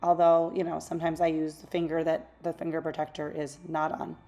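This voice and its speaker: A woman hosting a craft channel, talking through a tutorial in a casual, rambling voice-over; her voice is a little raspy from losing it. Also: low voice, monotone, a little fast, mellow. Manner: chatty, rambling, self-correcting asides.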